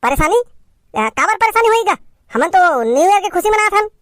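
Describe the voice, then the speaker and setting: A woman's voice: very high-pitched, very animated, a little fast, no room sound, studio quality, pitched above the performer's own voice. A woman voicing an impatient, boisterous young villager in a cartoon comedy, with a brash manner and a Chhattisgarhi accent. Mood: defensive.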